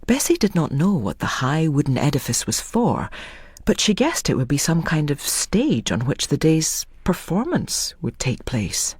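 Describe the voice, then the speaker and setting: A storyteller narrates dramatic fiction in a husky, breath-edged voice of medium pitch. The recording is close and dry, with no room around the words. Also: sing-song, a little slow, darkish tone, no room sound.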